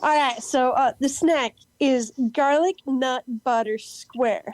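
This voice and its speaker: An eccentric, proudly odd and cheerful woman in an amateur audio play, acted by a woman. Her voice is notably high and close, with no room sound. She steps haltingly through phrases, then bursts out emphatically. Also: a little mellow, no room sound. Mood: earnest, proud.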